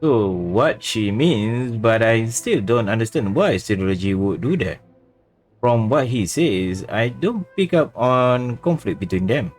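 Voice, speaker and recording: A man's voice: mid-range voice, expressive, unhurried. A man voicing an earnest, curious male companion in video game dialogue, pondering in an everyday way. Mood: puzzled. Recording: faint music behind.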